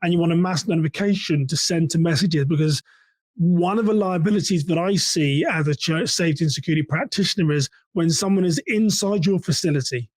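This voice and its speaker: A man's voice: a little high, brisk, no room sound. A man hosting a solo podcast, explaining things conversationally as a practitioner and putting questions to the listener. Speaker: podcast host and church security practitioner.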